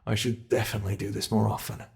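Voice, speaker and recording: A man voicing a sadistic, playful ancient monster hunting human prey in an ASMR roleplay, taunting theatrically and slipping into breathy whispers. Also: fairly low pitch, level tone, quick pace, a little mellow. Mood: excited taunting. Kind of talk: ASMR roleplay, scripted monologue.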